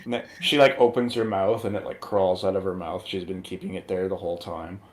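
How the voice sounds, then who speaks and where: A man's voice: sits low, steady tone, brisk. A man on a movie review panel chatting casually and riffing jokingly on pop culture. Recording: clear background noise.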